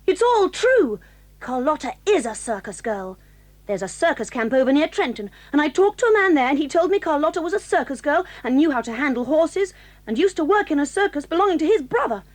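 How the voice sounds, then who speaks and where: A woman's voice: high-pitched, sing-song, brisk. A woman playing a snobbish, sly schoolgirl with a prim, haughty manner in a children's audio drama. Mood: spiteful accusing.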